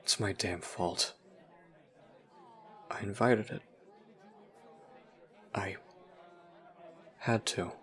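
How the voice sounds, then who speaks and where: A man playing a troubled, self-blaming teenager in an audio roleplay, speaking in a halting, confessional way. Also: fairly low pitch, fairly even intonation, a bit bright, some chatter behind. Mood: self-blaming, anguished.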